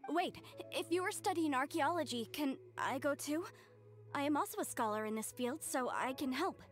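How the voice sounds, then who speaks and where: A sincere polite young scholar girl, highish voice, anime game, soft music behind. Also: expressive, normal speed. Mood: earnest, pleading. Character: earnest, polite young female scholar of history.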